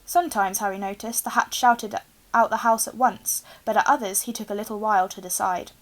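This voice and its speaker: A young woman reads a storybook aloud in an expressive narrating style. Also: mid-range voice, a little fast. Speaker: reader, teacher. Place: small room indoors with bookshelves.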